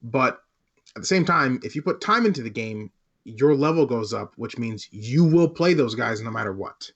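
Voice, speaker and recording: Slightly muffled, lo-fi audio carries a man with a medium-pitched voice. He chats casually as a podcast co-host, halting often and breaking his sentences into short pieces. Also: expressive. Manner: halting, pausing mid-sentence. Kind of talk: casual podcast chat about gaming.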